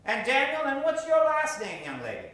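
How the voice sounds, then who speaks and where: Animated showman host in a very echoey theater. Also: very high voice, moderate pace. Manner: theatrical showman, projecting to a crowd. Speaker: stage host of an improv comedy show. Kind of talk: improv audience warm-up, gathering suggestions. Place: live theater stage. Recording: very echoey theater, distant mic.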